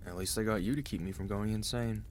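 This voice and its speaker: Man acting as weary mafia boss husband, low voice, commanding yet gentle, dry, intimate ASMR roleplay, audible background noise. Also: quick pace, natural voice. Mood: tired, softening. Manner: tired, authoritative, dry, intimate.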